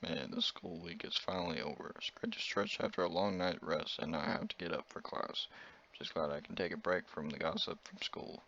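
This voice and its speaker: Deep gravelly guy doing a lets play, reads visual novel lines n chats casually, kinda dull sounding audio. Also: brisk, pushed and bright. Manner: stumbles over words while reading. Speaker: let's player reading and reacting to a visual novel demo. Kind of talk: reading game text aloud with casual asides.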